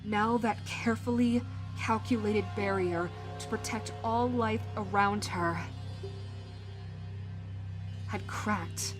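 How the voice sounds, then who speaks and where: A woman giving solemn, deliberate dramatic narration of a fantasy story, with weighty pauses. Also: medium pitch, steady tone. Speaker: narrator and voice actor. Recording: prominent music under the voice. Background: music.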